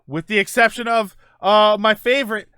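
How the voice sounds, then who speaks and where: A man's voice: high voice, steady tone, moderate pace, bright, forceful tone, clear, resonant tone, dry recording, studio quality. A man hosting a casual live-stream show, answering viewer comments in a loose, rambling way with lots of fillers. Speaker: livestream show host.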